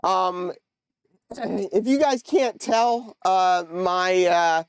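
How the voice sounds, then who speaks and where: A man's voice: high voice, very slow, clear, resonant tone. A man with a congested nose hosting a casual livestream, chatting with viewers and trailing off into fillers. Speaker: livestream host. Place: home model railroad room.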